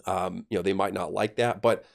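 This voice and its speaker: A man's voice: sits low, fairly even intonation, fairly quick, creaky. A man talking as an air traffic controller guest on a podcast, explaining things conversationally and with emphasis.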